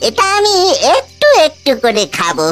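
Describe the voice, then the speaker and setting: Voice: medium pitch, wildly swooping pitch, a little slow, fairly bright tone. A performer voicing a mischievous, gluttonous cartoon ghost with a cackling, singsong manner. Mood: gleeful, greedy. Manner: gleeful, cackling, singsong exclamations. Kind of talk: exaggerated cartoon voice acting. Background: music.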